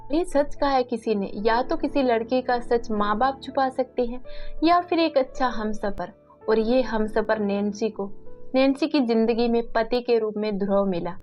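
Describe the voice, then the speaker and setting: A woman's voice: on the high side, moderate pace, dull audio. Woman narrating a story over faint music.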